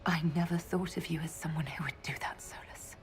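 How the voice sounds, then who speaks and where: Low-voiced, earnest elven woman leader played by an actress. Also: steady tone, fairly quick, whispered, faint music behind, natural voice. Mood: hurt, accusing. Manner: serious, questioning, restrained. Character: female elven leader, earnest, wounded, challenging her lover. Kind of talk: scripted video game acting.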